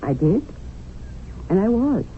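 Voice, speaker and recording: Radio-drama memsahib: worldly, managing, lecturing Englishwoman, expressive pitch, narrow hissy sound. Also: takes it slowly. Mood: coaxing, pleased. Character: pragmatic, domineering English widowed memsahib in colonial India. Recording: tinny, noisy old radio broadcast.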